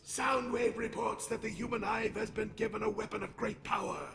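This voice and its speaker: An actor playing an arrogant robot warlord in a video game, gravelly and forceful. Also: male voice, high-pitched, steady tone, a little fast, background noise. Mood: boastful. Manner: forceful, boastful. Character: arrogant male robot warlord, servile only to his master. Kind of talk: theatrical video game villain acting. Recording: loud music under the voice. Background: loud music.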